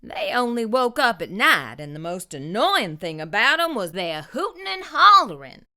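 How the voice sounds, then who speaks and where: A middle-aged woman reading a children's picture book aloud with expressive storytelling. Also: medium pitch, normal speed. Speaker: children's book reader, channel host.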